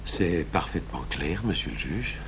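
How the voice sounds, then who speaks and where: Low-voiced police commissioner. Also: male voice, sing-song, gravelly, fairly bright tone, heavily acted. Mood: calm, firm. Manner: measured, deliberate, deferential but firm. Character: seasoned male police commissioner, calm, patient, wryly stubborn. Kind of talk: French radio drama acting. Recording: hummy, noisy old radio broadcast.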